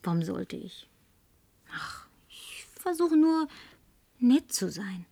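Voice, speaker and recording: A woman's voice: on the high side, sing-song, takes it slowly, warm tone, no room sound, exaggerated voice. A woman dubbing a lonely, tipsy, flirtatious woman, talking in a coaxing, whispery way that at times turns demanding. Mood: flirty. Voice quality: breathy, whispery. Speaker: advertising agency woman. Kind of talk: German film dub.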